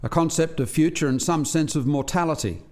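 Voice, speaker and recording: A man's voice: a little high, a little fast, a little mellow, lightly acted. A man playing a sober alien researcher in a radio play, reporting in a formal, expository way. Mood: sober, explaining.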